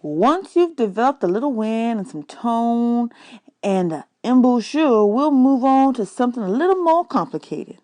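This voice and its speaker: A woman's voice: on the high side, expressive, normal speed, darkish tone, voice pushed up, lightly acted. A woman voicing an easygoing, kindly male jazz musician who mentors a boy in a storybook. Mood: generous, warm.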